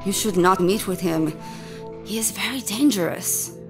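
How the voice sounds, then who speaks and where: A woman's voice: medium pitch, a little slow, over music, close to an everyday voice. A woman playing a cautious, anxious character in a radio drama, with careful formal phrasing. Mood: worried. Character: cautious, alarmed woman who once worked for a criminal.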